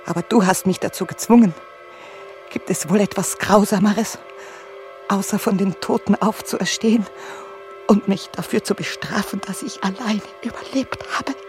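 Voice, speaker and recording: An actress in a German radio play voicing a bitter, defensive grown daughter who accuses and anguishes. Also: female voice, mid-range voice, fairly even intonation, normal speed, darkish tone, close to an everyday voice. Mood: anguished. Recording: music behind the voice. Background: music.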